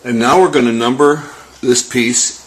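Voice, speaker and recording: A man giving an explanatory music lesson as a teacher, talking step by step in a measured manner. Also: mid-range voice, fairly even intonation, reverberant, low-quality recording.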